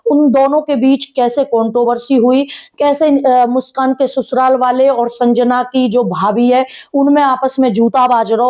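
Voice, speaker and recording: A woman with a distinctly high voice and a rural North Indian Haryanvi-Braj accent. She talks like a gossip vlogger, casual and animated, teasing and sarcastic. She often throws out rhetorical questions as if talking straight to the people she is discussing. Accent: rural North Indian Haryanvi-Braj Hindi. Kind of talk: casual chatty commentary on a family controversy.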